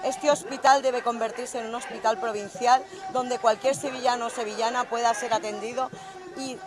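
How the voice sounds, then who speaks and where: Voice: medium pitch, fairly even intonation, normal speed, music in the background. Firm protester. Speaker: protester speaking to press. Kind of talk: street statement.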